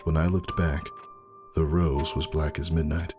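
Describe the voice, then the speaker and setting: A man giving a dramatic first-person story reading as narrator, husky and brooding in manner. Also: extremely low pitch, level tone, measured pace. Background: faint music.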